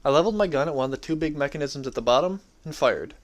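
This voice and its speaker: A man's voice: fairly high pitch, lively intonation, rapid-fire. A young adult man giving a dramatic reading of his own first-person story.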